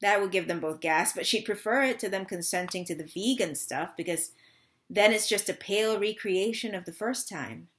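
A woman's voice: mid-range voice, normal speed, lightly acted. A woman in her thirties playing a wistful, restless mother in a dramatic third-person monologue reading. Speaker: actor in a staged reading. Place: small room at home.

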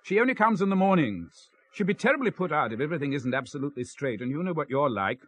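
A man's voice: a little high, sing-song, fast talker, a bit bright. An actor playing an evasive, apologetic, strained married man in a radio drama, with an English accent and a polite, hesitant, clipped manner. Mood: flustered, defensive. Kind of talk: 1970s radio drama acting.

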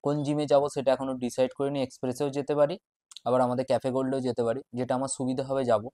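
A young man in his twenties talks casually to camera with a West Bengal Bengali accent. His voice sits at a medium pitch and comes in short, halting phrases. It is picked up by a lapel mic, close and dry, with little room sound. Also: little pitch movement, warm tone, dry recording. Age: 20s. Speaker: fitness vlogger. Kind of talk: casual vlog chat to camera. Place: small bedroom at home, indoors. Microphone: wireless lapel mic.

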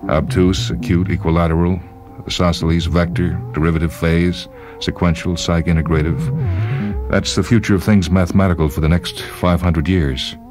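Man playing bookish, blunt man made of words, very deep. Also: fairly even intonation, moderate pace, darkish tone, soft background music, natural voice. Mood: pedantic, intense. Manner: clipped, pedantic, insistent.

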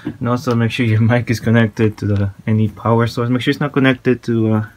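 A man giving a casual hands-on tutorial in a halting, informal way, recorded on a handheld phone at home. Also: mid-range voice, level tone, darkish tone, slight background noise. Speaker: tutorial presenter. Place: small room at home, indoors.

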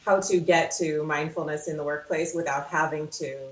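A woman chatting casually on a video call in a halting, self-interrupting way, as a coach would about their work. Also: low-pitched, fairly even intonation. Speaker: mindfulness coach. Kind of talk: casual chat. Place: indoors at home, on a video call. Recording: roomy echo on a video call.

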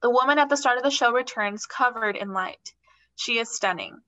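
A young woman narrates stage directions in a bright, slightly high voice. It is scripted reading for a play, done over a video call from a plain room. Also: moderate pace.

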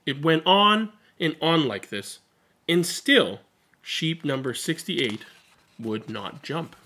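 A man in his thirties doing an expressive picture-book read-aloud with casual commentary. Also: on the high side, takes it slowly. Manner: expressive, animated storytelling. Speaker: read-aloud storyteller and host. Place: small indoor room at home.

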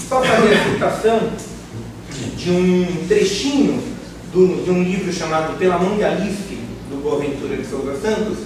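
A middle-aged man from Brazil lectures to a class in a measured, searching way, often restarting a phrase and checking in with the room. He sits on the higher side of a man's range. The far-off camera catches strong room echo and a steady hiss. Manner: measured, thoughtful, hesitant restarts, frequent "né?" tag. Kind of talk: academic lecture to course participants. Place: large bare classroom. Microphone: far camera, no mic. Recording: echoey room from far off, noisy.

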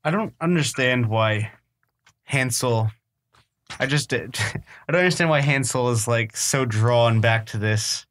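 A man's voice: mid-range voice, unhurried, a bit bright. A man talking informally about poems as a panelist on a literary podcast. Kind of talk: casual literary discussion.

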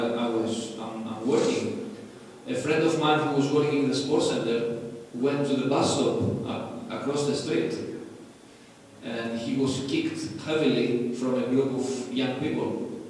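A man's voice: mid-range voice, darkish tone, a little hiss or hum. A man with a Greek accent giving an interactive conference lecture, quizzing the audience in non-native phrasing. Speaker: conference speaker. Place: large conference hall. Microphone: lectern mic heard from afar. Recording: echoey hall from far off.

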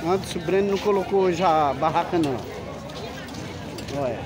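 A man's voice: a little high, normal speed, background noise. A man, chatting with vendors and calling out prices as he walks a street market with his phone. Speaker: vlogger touring a market. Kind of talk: casual walking vlog. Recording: loud market crowd around.

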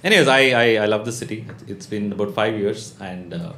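A man in his thirties with a South Indian English accent performs stand-up comedy, conversational and deadpan, pausing and tagging lines with questions. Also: lowish voice. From Bangalore, India. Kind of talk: stand-up comedy routine to a live audience. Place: small indoor comedy club. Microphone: handheld-type stage microphone on a stand. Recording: echoey live club, audience noise. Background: audience laughter.

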